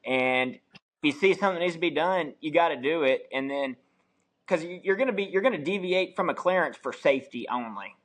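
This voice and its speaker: A man's voice: a little high, lively intonation, a little fast, fairly bright tone, rough recording. A man who works as a pilot, talking casually on a podcast panel.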